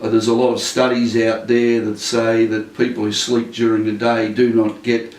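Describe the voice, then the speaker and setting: Older man, flat and rambling. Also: lowish voice, moderate pace. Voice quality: loud voice. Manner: rambling, many fillers and restarts. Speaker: interviewee. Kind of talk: casual interview. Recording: echoey room, mic at a distance.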